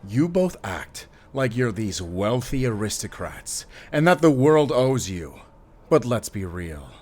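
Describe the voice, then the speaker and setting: A man's voice: medium pitch, big pitch swings, slow, a little mellow. A man playing a stern, commanding middle-aged father in an audio drama. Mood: furious. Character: wealthy stern father, protective of his son, commanding.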